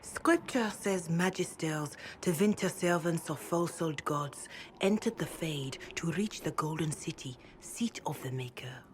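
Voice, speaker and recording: An actress playing a devout, calm middle-aged cleric in a fantasy video game, speaking in a measured, reverent way. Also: female voice, low voice, slight background noise. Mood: solemn, devout. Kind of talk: dramatic video game dialogue.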